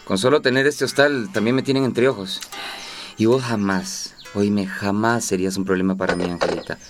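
An actor playing a protective, gently flirtatious hostel keeper in a radio drama, familiar and reassuring. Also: male voice, lowish voice, steady tone, moderate pace, faint music behind, natural voice. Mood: earnest, protective.

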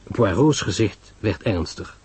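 A man's voice: lowish voice, expressive, takes it slowly, a little mellow, husky, no room sound. A man reading scripted narration of a detective story as its narrator.

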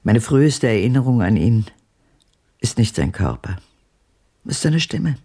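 Old woman of eighty, gravelly, husky, swooping delivery, brooding and evasive, radio play. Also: a bass voice, big pitch swings, measured pace, warm tone, full character voice. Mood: wistful, secretive. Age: 80s. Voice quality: husky, breathy, dropping to whispers. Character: world-weary, evasive eighty-year-old woman recalling her past. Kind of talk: radio drama acting.

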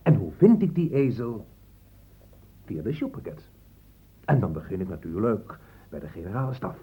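Stern police inspector, radio play, very husky, animated delivery, short-tempered, condescending, tinny old recording. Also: male voice, on the low side, normal speed, full character voice. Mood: menacing. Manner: blustering, threatening, condescending. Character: stern, impatient male police inspector, easily angered. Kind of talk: radio play acting in Dutch.

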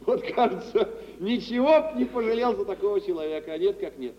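An actor playing an eager, deferential wealthy gentleman, courteous and effusive, in a mid-century radio drama. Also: high voice, animated, a little fast. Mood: eager, pleased. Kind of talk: mid-century Soviet stage acting for radio. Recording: muffled, noisy old radio recording, hall reverb.

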